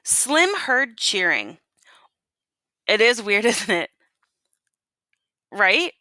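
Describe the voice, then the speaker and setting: A woman reading a picture book aloud as a teacher would, in an expressive, folksy storytelling manner. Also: medium pitch, drawn-out words, no echo, studio quality. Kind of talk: animated picture-book read-aloud to listeners.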